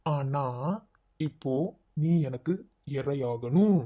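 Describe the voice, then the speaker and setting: A man's voice: mid-range voice, slow, very noisy, lightly acted. A man voicing a leering, predatory male villain who gloats and menaces. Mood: menacing. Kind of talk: audio drama acting.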